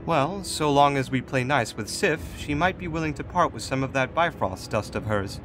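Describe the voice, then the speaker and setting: A male actor plays a composed, shrewd god who holds authority and chides gently. His speech is measured, articulate and formal, at a middling male pitch. It is fantasy audio drama with music clearly underneath. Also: animated, close to an everyday voice. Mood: authoritative, pragmatic.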